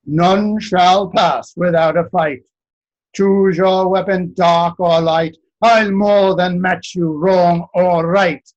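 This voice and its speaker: A man storyteller voicing a boastful male giant who speaks in slow, loud challenges. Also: high voice, steady tone, highs cut off. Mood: challenging.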